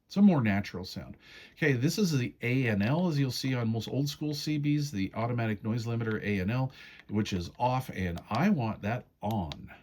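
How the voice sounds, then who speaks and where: A man's voice: mid-range voice, lively intonation, normal speed, a little mellow, a little hiss or hum. A man giving a casual, hands-on product walkthrough in a folksy, step-by-step, conversational way. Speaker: radio reviewer demonstrating menu settings.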